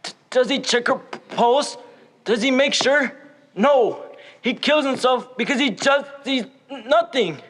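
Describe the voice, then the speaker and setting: A student actor playing a gentle, earnest, deformed young man who stammers and speaks haltingly in a stage play. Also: high-pitched. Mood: insistent. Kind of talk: high school stage play acting. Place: school theater stage. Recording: echoey theater hall.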